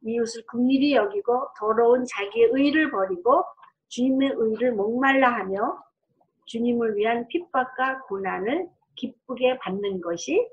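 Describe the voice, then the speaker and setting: High-voiced woman pastor preaching. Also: poor audio. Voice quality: loud, strong voice. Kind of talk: sermon with personal testimony.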